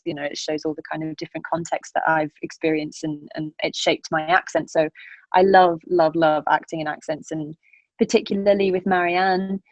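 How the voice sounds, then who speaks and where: A woman in her twenties, guest on an interview podcast, speaking in an animated, chatty way with a British accent mixing Northern Irish, Scottish and Manchester traces, often laughing as she talks. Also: on the low side, no echo, poor audio. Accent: British mix with Northern Irish, Scottish and Manchester traces. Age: 20s. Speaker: actor guest. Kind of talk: casual interview chat.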